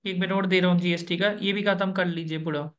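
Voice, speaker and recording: A man working as an interview coach, running an online coaching session over a video call, brisk and instructive, repeating the key points. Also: sits high, steady tone, fairly bright tone, highs cut off.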